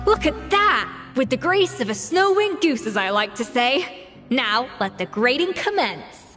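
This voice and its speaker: An actress playing an exacting, confident chef in a video game, with a commanding, precise, theatrical delivery. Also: female voice, sits high, sing-song, a little slow, big-room sound, over music. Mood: theatrical, demanding. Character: exacting, confident female chef giving a cooking test.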